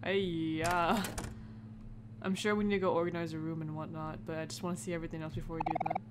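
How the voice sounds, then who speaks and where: Low-voiced woman doing casual game commentary, noisy recording. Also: little pitch movement, fast. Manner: informal, reactive, exclaims and mutters asides. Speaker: gamer narrating a playthrough. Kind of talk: casual let's play commentary, reading game text aloud. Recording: heavy background noise, clicks.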